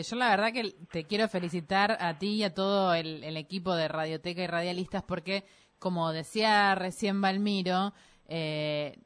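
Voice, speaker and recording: A woman co-hosting a radio interview, speaking in a warm, appreciative, conversational way. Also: lowish voice. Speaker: radio co-host.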